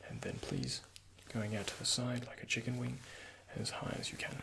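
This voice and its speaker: A soft-spoken man with a breathy, often half-whispered voice, playing a doctor in an ASMR roleplay and giving gentle instructions and reassurance. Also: medium pitch, level tone, moderate pace, noisy background.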